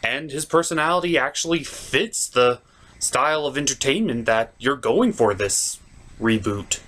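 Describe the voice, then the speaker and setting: A man's voice: medium pitch, lively intonation, a little slow, fairly bright tone. A man hosting a scripted comedic review with skits, with a theatrical, exasperated delivery. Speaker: comic reviewer and host.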